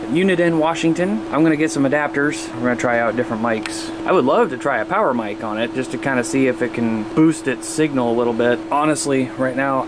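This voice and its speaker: Man vlogging casually over clear background noise. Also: mid-range voice. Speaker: hobbyist video host. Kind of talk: casual vlog chat to viewers.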